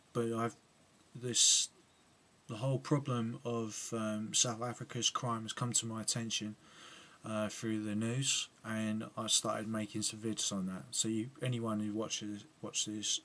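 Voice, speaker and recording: A man's voice: fairly low pitch, level tone, unhurried, sharp tone. A man with an English accent giving a spoken video reply, hesitant and full of ums and restarts. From England. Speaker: video blogger replying to another video. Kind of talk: casual spoken commentary to camera.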